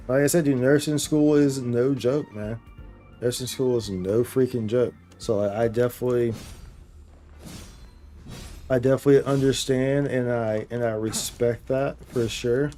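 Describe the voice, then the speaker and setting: Casual male game streamer, mellow tone, slangy and repetitive. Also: medium pitch. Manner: slangy, bro-heavy, repeats phrases for emphasis. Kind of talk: casual livestream chat while gaming.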